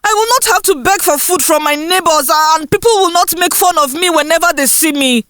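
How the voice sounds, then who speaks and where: Folktale teenage girl, played by a woman: high, pleading, emotional, stubbornly determined, very dry close sound. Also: a little fast, fairly bright tone, no echo. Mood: tearful defiance.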